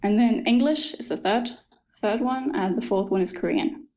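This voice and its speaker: A woman's voice: on the high side, a little mellow, low-quality recording. Thoughtful Danish woman in conversation. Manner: thoughtful, laughs often, pauses with ums. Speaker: author guest. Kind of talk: interview conversation.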